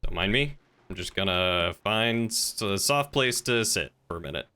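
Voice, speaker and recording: A man's voice: lowish voice, lively intonation, moderate pace, pushed and bright, clear, resonant tone. A man chatting casually as he streams game roleplay, rambling and thinking aloud. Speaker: livestreamer.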